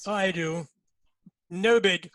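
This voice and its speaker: A man's voice: high voice, drawn-out words, sharp tone, no room sound, exaggerated voice. A man playing a jealous army Major in a stage play reading, clipped and suspicious.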